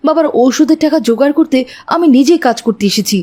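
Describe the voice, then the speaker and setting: A woman's voice: sits high, fast talker, darkish tone, close to an everyday voice. A woman voicing a poor, polite, hardworking schoolboy in a children's moral cartoon, earnest and plaintive. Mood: sad, earnest.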